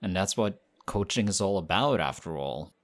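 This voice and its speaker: A man voicing a friendly, modest young male team captain in an audio roleplay, talking in a relaxed, chatty way. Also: sits low, normal speed. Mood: excited, friendly.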